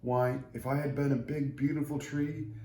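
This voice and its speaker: A man's voice: medium pitch, steady tone, normal speed, mellow, a little hiss or hum, voice pushed up, lightly acted. A man voicing a small, scrawny wooden tree in a children's storybook, eager, earnest and full of wonder. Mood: awed, grateful. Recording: roomy echo.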